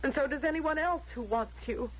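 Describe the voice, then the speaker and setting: An actress in an old radio drama playing an unhappy, defiant, lovesick young woman, plaintive and halting. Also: sits high, close to an everyday voice. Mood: lovelorn, pleading. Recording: dull lo-fi vintage radio drama recording.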